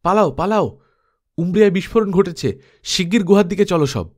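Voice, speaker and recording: A man's voice: high voice, expressive, a little fast, darkish tone, pitched above the performer's own voice. A man voicing a commanding adventurer who gives urgent orders to his group in an audiobook story. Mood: alarmed, shouting.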